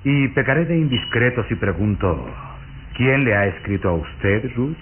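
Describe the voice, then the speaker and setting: An actor playing a suave, sinister vampire count with courtly, insinuating manners in an old radio drama. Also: male voice, mid-range voice, animated, normal speed, close to an everyday voice. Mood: probing, intense. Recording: muffled old radio recording, noisy.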